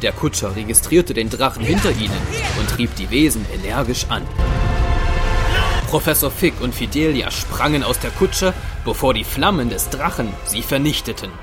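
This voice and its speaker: A man's voice: medium pitch, animated, moderate pace, lightly acted. A man narrating a fantasy audio drama in scripted, dramatic storytelling style. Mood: dramatic, descriptive. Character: male storyteller of a fantasy adventure. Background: music.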